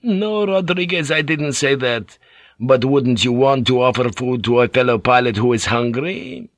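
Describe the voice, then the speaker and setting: A man playing a sly, smooth-talking middle-aged Jesuit priest who speaks softly and draws out his words. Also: mid-range voice, lively intonation, dry recording, studio quality, pitched above the performer's own voice, natural voice. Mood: reproving. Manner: soft, coaxing, drawn-out.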